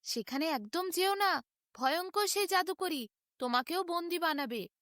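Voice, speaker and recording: Woman voicing a timid, high-pitched little squirrel. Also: lively intonation, normal speed, a bit bright, no echo, clean studio sound. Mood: frightened warning. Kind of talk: storybook animal character voice.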